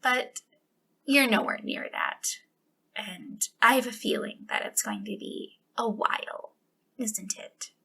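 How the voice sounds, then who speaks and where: A woman playing an obsessive, sweetly menacing female captor in an ASMR roleplay, speaking intimately in a breathy voice that slips into whispers. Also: on the high side, bright, forceful tone, exaggerated voice. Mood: possessive, coaxing. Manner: breathy delivery slipping into whispers, lingering pauses.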